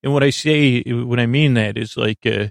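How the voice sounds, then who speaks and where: A man with a creaky voice hosting a sleep podcast, meandering and hesitant as he drifts into tangents to lull listeners. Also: mid-range voice, moderate pace, no room sound, clean studio sound. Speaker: podcast host. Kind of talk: rambling bedtime monologue meant to lull listeners to sleep.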